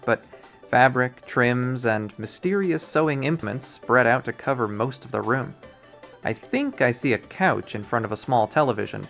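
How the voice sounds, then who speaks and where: A man reading a visual novel aloud as its first-person narrator, in an expressive storytelling style. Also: medium pitch, vocal fry.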